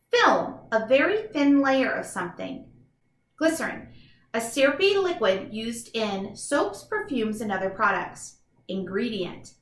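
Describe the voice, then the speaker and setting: A woman's voice: fairly high pitch, reverberant. A woman reads a children's book aloud like a teacher, enthusiastic and instructive, with chatty explanatory asides to young listeners.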